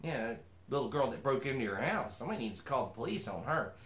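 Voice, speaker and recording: A man's voice: medium pitch, sing-song, fast talker, fairly bright tone, echoey room. A man voicing a chatty, opinionated mule who reads a storybook with folksy, disapproving asides. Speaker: host reading a storybook. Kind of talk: animated storybook reading with comic commentary.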